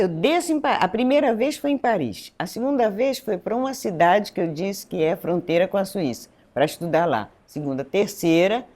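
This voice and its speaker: A woman in her seventies from Pernambuco, Brazil, telling her life story in an interview, meandering and correcting herself as she goes. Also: medium pitch. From Recife, Pernambuco, Brazil. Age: 70s. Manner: meandering, self-correcting storyteller who acts out dialogue. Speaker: French teacher and school director, guest.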